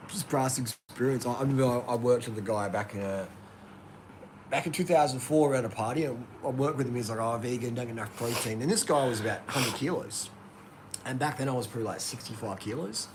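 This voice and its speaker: Man, casual and boastful storyteller, mid-pitched voice, noisy hissing recording. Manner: boastful, laid-back storytelling, says "man". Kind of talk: casual chat. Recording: noisy line with clear background hiss.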